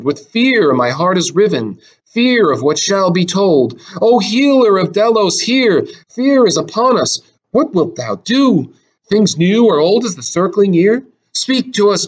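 A fearful elder of a tragic chorus, played by a man, pitched high. He pleads with the gods in fervent, incantatory declamation. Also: low-quality recording. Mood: fearful, reverent.

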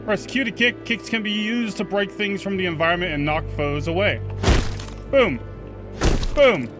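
A man giving casual first-look game commentary, excitable and sweary, reacting aloud and chatting to his viewers. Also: sits high, moderate pace, music in the background. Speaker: gaming video host.

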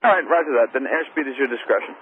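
A man's voice: mid-range voice, fast talker. An American man working as an air traffic controller, giving radio instructions. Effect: aviation radio. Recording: tinny narrow-band aviation radio, faint static.

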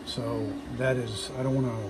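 A man's voice: medium pitch, little pitch movement, normal speed, noisy background. A man giving a casual, rambling, appreciative walk-through commentary as a vlog host. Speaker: host filming a shop tour. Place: inside a busy hobby shop. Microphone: handheld phone. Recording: busy shop chatter behind.

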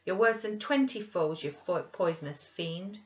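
Woman putting on an impassioned, petulant young gentlewoman. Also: medium pitch, very animated, moderate pace, dull audio, voice pushed up, close to an everyday voice. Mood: angry. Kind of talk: dramatized audiobook reading.

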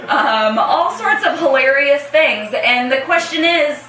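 A young American woman with a very bright, forward voice on the high side. She banters casually and jokes as a co-host. She is a little far from the mic, in an echoey room. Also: animated, a little slow. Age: young adult. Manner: playful, joking. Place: small indoor room with hard table. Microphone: camera a few feet away. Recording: roomy echo, distant camera mic.

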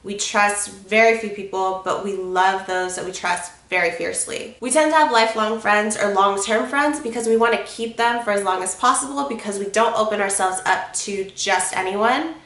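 A woman in her twenties talks straight to camera from her bed, bright and forward in tone, her voice a little congested from a cold. She sounds frank and confiding and swears casually. The bedroom lends a noticeable echo. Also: mid-range voice, normal speed, few pauses. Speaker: vlogger.

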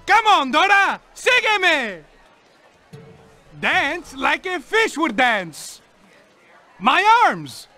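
Cartoon king, very high and lively, slow and bright, over faint music. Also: heavily acted. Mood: playful. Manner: booming, playful, theatrical. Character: jolly dancing cartoon king, grown man. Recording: game audio over music.

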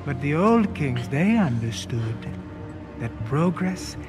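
A middle-aged man playing a cold, cultured warlord villain in a video game, speaking in a formal, measured, menacing way. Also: on the high side, very animated, over music. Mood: calm menace. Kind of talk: video game cutscene acting.

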